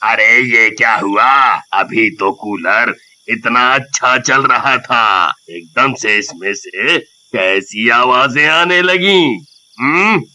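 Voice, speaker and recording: A man playing a grumbling, easily scared village headman in an animated story, exclaiming and blustering. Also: mid-range voice, slow, rough recording. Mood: puzzled.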